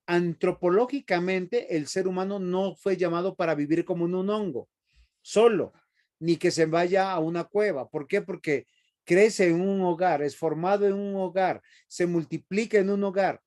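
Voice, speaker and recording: A man teaches a Bible class in a classroom, his voice sitting a little high for a man. He lectures in a preachy tone and keeps asking the students if they are following. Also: moderate pace, a little mellow. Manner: preachy, asks the class questions.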